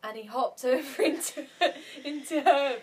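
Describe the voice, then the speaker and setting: A young woman telling stories in a casual podcast chat, animated and laughing often. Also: sits high, a little slow, a crowd in the background. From Yorkshire, England. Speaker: podcast co-host.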